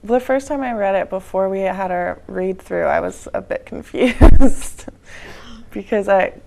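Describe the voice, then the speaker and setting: A woman, a stage actress, answering an interview question in a halting way with many fillers. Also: medium pitch, gravelly, noisy background.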